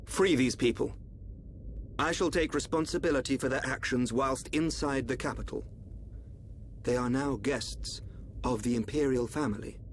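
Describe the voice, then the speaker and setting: A man playing a calm, enigmatic royal seer in a video game, formal and assured. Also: medium pitch, moderate pace, a little hiss or hum, natural voice. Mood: composed, reassuring. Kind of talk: dramatic video game voice acting.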